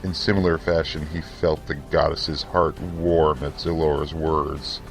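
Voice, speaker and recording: A man reading scripted fantasy narration for an audio drama in a solemn, measured, theatrical way. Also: extremely low pitch, little pitch movement, vocal fry, soft background music.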